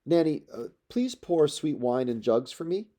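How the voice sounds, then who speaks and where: A man's voice: a little high, expressive, a little slow, warm tone, pitched above the performer's own voice. Man acting a resolute young prince, raised voice. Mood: urgent, instructing.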